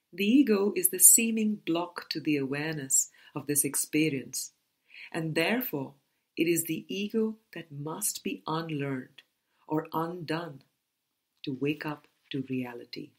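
Low-pitched, slow-reading woman. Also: darkish tone, slightly rough. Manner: measured, deliberate phrasing. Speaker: audiobook reader. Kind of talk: scripted book reading.

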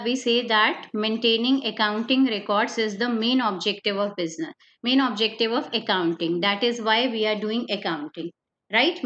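A woman's voice: a little high, a bit bright. A woman teaching an accountancy class online, explaining step by step and repeating the key points. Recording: slightly dull lo-fi online class audio with faint noise.

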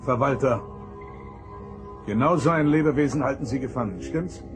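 An actor playing a stern, authoritative starship captain in a radio play, speaking in a measured, commanding way. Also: male voice, medium pitch, music in the background, natural voice.

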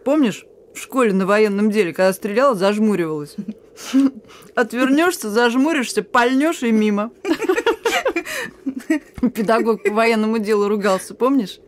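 A woman's voice: a little high, moderate pace, pauses often, natural voice. An actress playing a weary but defiant young woman messenger in a radio play, talking in a familiar, needling way. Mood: teasing. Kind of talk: Russian radio play acting. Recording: radio drama with music.

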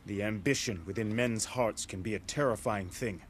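An actor playing a noble young warrior in a video game, speaking formally with solemn, earnest conviction. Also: male voice, on the low side, normal speed, a little hiss or hum, close to an everyday voice. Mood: grave resolve. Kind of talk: dramatic game cutscene acting.

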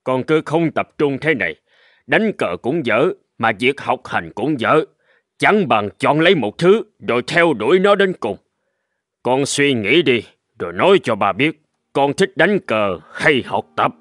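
A man playing a stern but fair middle-aged father in a cartoon, speaking in a measured, earnest, admonishing way. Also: mid-range voice, big pitch swings, a bit bright, no room sound, clean studio sound. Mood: firm, advising. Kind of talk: animated moral tale dubbing. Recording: music behind the voice.

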